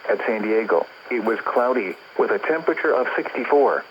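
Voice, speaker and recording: A clearly noisy weather radio broadcast carries a synthetic male announcer with an American accent. He reads a bulletin at a medium pitch, in a formal and measured way, like an automated service. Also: lively intonation, dull audio. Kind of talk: scripted weather bulletin reading.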